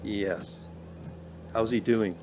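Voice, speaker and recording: An actor playing a polite, gentle middle-aged professor in a radio play. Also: male voice, lowish voice, steady tone, drawn-out words, a little hiss or hum. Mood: gentle, concerned.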